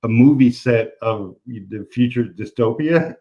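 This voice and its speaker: A man's voice: mid-range voice, measured pace, dark tone, highs cut off. A man from Brooklyn with a light Brooklyn accent, hosting a casual interview chat in an easygoing, rambling way. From Brooklyn, New York. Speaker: podcast host.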